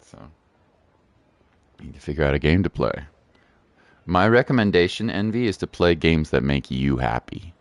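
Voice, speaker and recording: Deep-voiced, chatty man livestreaming. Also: animated, moderate pace, long pauses, dry recording. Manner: chatty, encouraging, slangy. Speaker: game streamer and host. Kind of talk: casual livestream chat.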